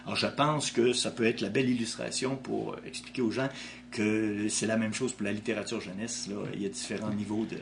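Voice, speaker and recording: A man's voice: on the low side, little pitch movement, a little fast, gravelly, slight background noise. A man with a Québécois French accent, an author answering interview questions in a thoughtful way, with frequent restarts. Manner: thoughtful, with many restarts and hesitations.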